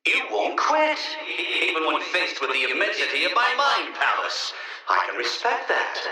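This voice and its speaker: An actor playing an arrogant, condescending genius villain in a video game, with pompous, theatrical sneering delivery over a radio-like filter. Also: male voice, fairly high pitch, huge pitch swings, moderate pace, pushed and bright, far from the mic, full character voice. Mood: smug.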